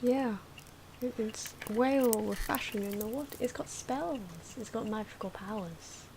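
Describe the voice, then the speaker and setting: Soft-spoken ASMR woman, often whispering, noisy background. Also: fairly high pitch, measured pace. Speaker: host of an ASMR drawing channel. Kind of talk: ASMR, casual voice-over commentary on speed drawing. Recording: clear background noise from drawing sounds. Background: pen and marker scratching.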